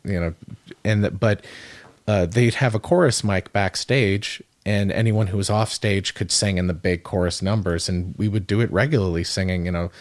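A young man, a musician guest on a podcast, talking earnestly in a halting way with many restarts. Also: sits low, no room sound. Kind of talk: casual interview chat.

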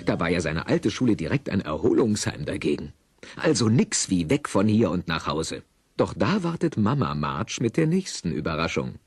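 Husky, lively low-voiced male narrator. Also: moderate pace, soft background music. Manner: wry, storytelling. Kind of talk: scripted, playful audio drama narration.

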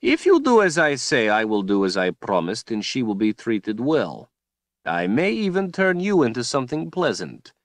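A man's voice: mid-range voice, wildly swooping pitch, normal speed, no echo, clean studio sound, voice pushed up. A man voicing a cruel male tyrant who speaks in a silky, taunting, menacing way. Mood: mocking.

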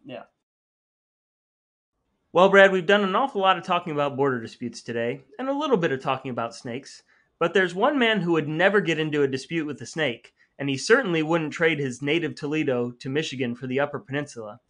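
A man's voice: a little high, expressive, a little fast, fairly bright tone. A man hosting a casual podcast, chatting and addressing the listeners. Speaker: podcast host. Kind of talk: casual podcast banter and sign-off.

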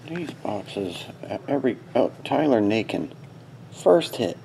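A man's voice: mid-range voice, sing-song, a little slow, creaky, mellow, a little hiss or hum, poor audio. A man hosting a card break with casual, terse commentary, muttering short fragments as he sorts through cards. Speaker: host of a sports card box break. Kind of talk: casual live commentary while opening card packs. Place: small indoor desk setup.

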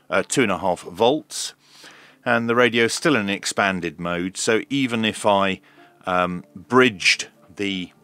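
Man, low voice, unhurried, measured tech demo commentary, faint music behind. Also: fairly bright tone, no room sound. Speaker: host of a radio repair video. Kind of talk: hands-on tech demonstration commentary.

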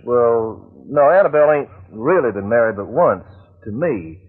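An actor playing a talkative, good-natured backwoods soldier with an American Southern accent, folksy and rambling, in old-time radio drama style. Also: male voice, medium pitch, lively intonation, normal speed, slightly rough. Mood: earnest, folksy. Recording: muffled, narrow-band old radio recording.

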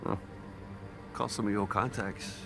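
An actor playing a weary, middle-aged American ex-convict in a video game, with a casual, wry, slangy delivery. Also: male voice, fairly low pitch, steady tone, normal speed, creaky, music in the background. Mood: eager, teasing. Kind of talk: naturalistic cinematic game acting.

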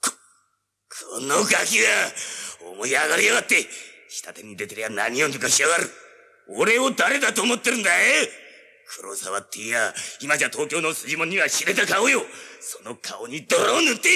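A blustering yakuza boss in a radio drama, with a very sharp, forceful voice and pitch that swings widely. His talk is rough and oily, in a long hall-like reverb. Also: very animated, moderate pace, full character voice. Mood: outraged.

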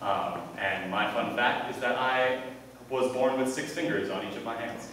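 A man's voice: mid-range voice, normal speed, heavy background noise. A young man from Massachusetts giving a playful self-introduction. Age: young adult. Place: large echoey hall. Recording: echoey hall from far off with crowd.

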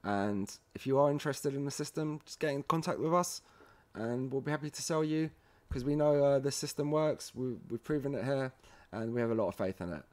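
A man presenting a software tutorial in a casual, halting, step-by-step way, often checking in with a short "okay". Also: medium pitch. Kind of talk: casual screen-recorded software walkthrough.

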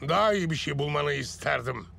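Old cartoon mentor, heavily gravelled voice, gruff and laid-back. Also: male voice, on the high side, animated, a little fast, noisy background. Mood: dry. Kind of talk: animated cartoon dub.

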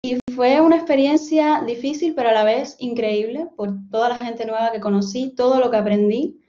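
A woman's voice: a little high, normal speed, warm tone. A woman in her thirties with a Cuban Spanish accent gives an online seminar from home, telling her own story in a friendly, personal way. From Cuba. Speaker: guest lecturer, postdoctoral researcher. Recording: dull lo-fi video call audio.

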